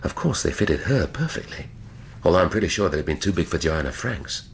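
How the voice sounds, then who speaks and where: Middle-aged English detective for radio drama: very deep, measured, brooding, faint background noise. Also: male voice, sing-song, creaky, heavily acted. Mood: grave, pondering. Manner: measured, deliberate, dry. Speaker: actor.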